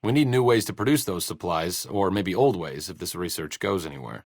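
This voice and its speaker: A bookish, self-deprecating video game doctor, speaks in a low, closely recorded voice. His delivery is sardonic and erudite, rising and falling freely as he makes his dry understatements. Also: lively intonation, a little fast, no room sound. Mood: dryly musing. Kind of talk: video game character dialogue.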